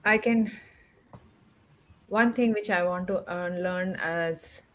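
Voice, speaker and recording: A woman's voice: on the low side, slow pace, a bit bright. A woman speaking conversationally as a panelist, giving her personal views. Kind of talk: conversational discussion. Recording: faint background noise.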